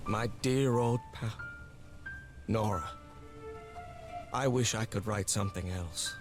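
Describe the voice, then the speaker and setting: A man's voice: fairly low pitch, natural voice. An actor playing a devoted, remorseful 1930s husband, reading his letter as a solemn, tender video game voice-over. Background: music.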